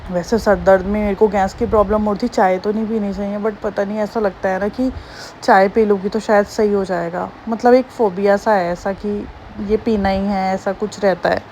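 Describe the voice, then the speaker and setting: Hoarse-throated woman vlogger. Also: mid-range voice, a little mellow, a little hiss or hum, rough recording. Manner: chatty, homely, rambling. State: hoarse, slightly sore throat. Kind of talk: casual daily-life vlog narration.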